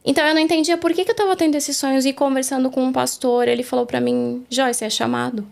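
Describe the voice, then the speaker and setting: A woman's voice: sits high, fairly even intonation, fast, creaky, a little mellow. A woman from Brazil, the guest on a faith interview, telling her story in an earnest, reflective way, recorded in a studio.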